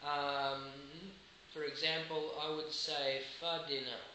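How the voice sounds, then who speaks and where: A man's voice: fairly high pitch, little pitch movement, sharp tone. A man with an Australian accent, an English teacher giving a hesitant, filler-laden video lesson. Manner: slow, hesitant, many fillers, demonstrates phrases. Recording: very echoey room with background noise.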